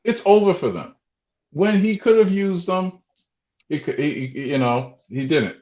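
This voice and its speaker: A man's voice: high voice, animated, measured pace, a little mellow. A man hosting a sports talk show, rambling and interrupting himself with many restarts. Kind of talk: casual sports radio banter. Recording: echoey room, dull muffled sound.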